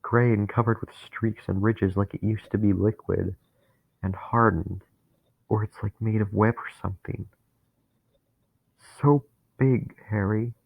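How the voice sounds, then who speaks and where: A man voicing a dying young man who is terrified and bitter, talking in a strained, pleading way that rises to anguished shouts. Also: lowish voice, fairly even intonation, unhurried, vocal fry, long pauses, husky, dry recording, heavily acted. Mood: awed dread. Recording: tinny phone-like, dry sound.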